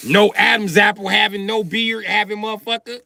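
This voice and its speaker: A man's voice: sits high, fairly even intonation, brisk, creaky, sharp tone, dry recording, studio quality. A middle-aged man livestreaming a profane, boastful comedic rant.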